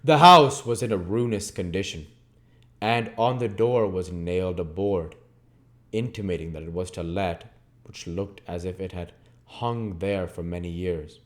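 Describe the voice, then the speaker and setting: A man reading a story aloud as channel host, in expressive narration with deliberate, measured phrasing. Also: low-pitched. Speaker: audiobook reader and channel host.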